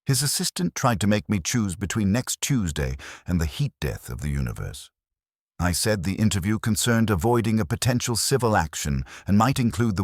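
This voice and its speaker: Casting: male audiobook narrator, extremely low voice, wry first-person detective storytelling, dry close sound. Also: dry recording.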